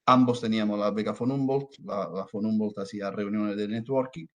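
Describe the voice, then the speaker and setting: A man's voice: lowish voice, brisk. A man from southern Italy speaking Spanish with an Italian accent, giving a hesitant conference talk over a video call. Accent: Italian, from Salerno, speaking Spanish with Argentine touches. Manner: hesitant, many fillers and restarts. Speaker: researcher and lecturer presenting.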